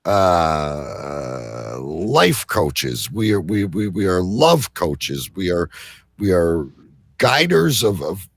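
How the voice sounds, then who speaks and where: Deep-voiced man hosting, lively pitch swings. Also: drawn-out words, no echo, studio quality. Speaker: host of a live online show. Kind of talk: casual live show chat.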